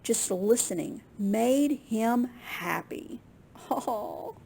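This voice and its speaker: A woman reading a picture book aloud to children in an expressive, unhurried way, with small asides to her listeners. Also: on the high side. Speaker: children's storytime reader at a library.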